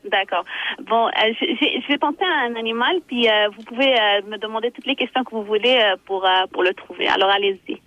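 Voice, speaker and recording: A woman calling in to a radio show, speaking haltingly with repeated words and a blunt, provocative edge. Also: a little high, a bit bright, dry recording. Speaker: caller. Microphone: telephone. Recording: muffled phone line.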